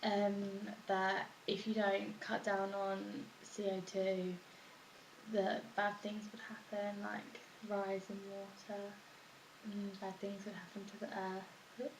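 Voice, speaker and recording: Woman w vocal fry, casual n tentative, echoey room w a bit of hiss. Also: mid-range voice, completely flat delivery, takes it slowly. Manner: tentative, filler-heavy "like" phrasing. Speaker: interviewee. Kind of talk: casual chat.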